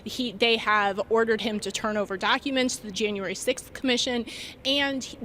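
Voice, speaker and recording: A woman news reporter giving live analysis from a remote feed, with emphatic, deliberate phrasing. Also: a little high, steady tone, a bit bright, a little hiss or hum.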